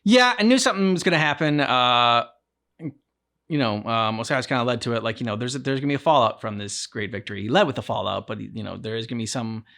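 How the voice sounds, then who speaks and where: A man hosting a game reaction, chatting casually in a halting, rambling way and often trailing off mid-thought. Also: medium pitch, creaky, fairly bright tone. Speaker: reaction channel host. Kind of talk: casual reaction chat about a video game.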